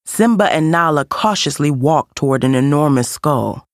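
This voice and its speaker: A man reading a children's story as narrator, in a deliberate and expressive scripted style. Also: fairly high pitch, no room sound, studio quality.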